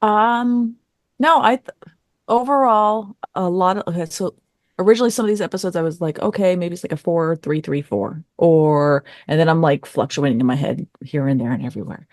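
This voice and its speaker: Lower-pitched woman chatting on a review podcast. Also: fairly quick, no echo, studio quality. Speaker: podcast panelist. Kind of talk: casual review discussion.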